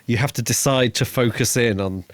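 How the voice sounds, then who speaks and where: A man co-hosting a podcast, talking casually about a book in a halting way, building each thought piece by piece. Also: medium pitch, dry recording. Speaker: podcast co-host reviewing a book. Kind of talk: casual conversational book discussion.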